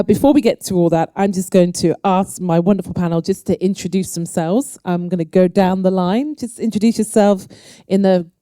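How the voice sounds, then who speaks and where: A woman's voice: on the low side, dark tone, dry recording. A woman with a Yorkshire English accent, moderating a panel discussion. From Yorkshire, England. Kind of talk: hosting a live panel discussion.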